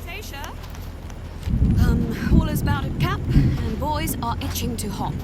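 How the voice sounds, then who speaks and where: High-voiced eager young navigator. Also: female voice, expressive, a little slow. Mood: curious. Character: young, curious female navigator, eager but nervous. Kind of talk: audio drama dialogue. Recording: loud ambient noise behind.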